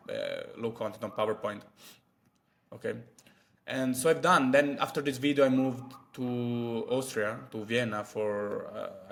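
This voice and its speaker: A man, chatting casually to camera as a vlogger with many fillers and asides. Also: medium pitch. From Italy. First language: Italian. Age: 20s.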